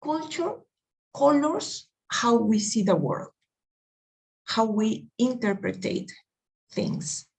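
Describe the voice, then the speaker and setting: A woman's voice: on the high side, expressive, slow pace, creaky, long pauses, a little mellow, poor audio. A woman from Peru with a Peruvian Spanish accent, presenting a diversity training session and often checking in with "right?" as she searches for her phrasing. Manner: earnest, searching phrasing with tag questions like "right?". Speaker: workshop presenter.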